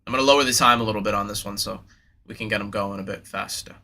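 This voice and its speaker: A man's voice: on the low side, steady tone, fast, bright, forceful tone. A young man hosting a live sports card break, chatting casually and hyped with slang, speaking straight to his viewers in a slightly nasal voice.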